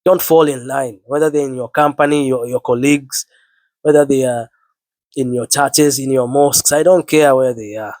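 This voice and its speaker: Sick guy preaching into the mic, earnest, low worn flu voice, dry sound, repeats himself a lot. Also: fairly even intonation, normal speed, a little mellow, no room sound. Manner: earnest, repeats words, biblical phrasing. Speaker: online preacher. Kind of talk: spoken sermon to camera.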